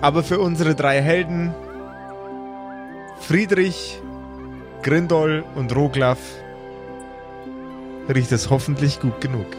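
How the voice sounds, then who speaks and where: A man narrating a tabletop role-playing game as game master, with measured, deliberate storytelling phrasing. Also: on the high side, over music.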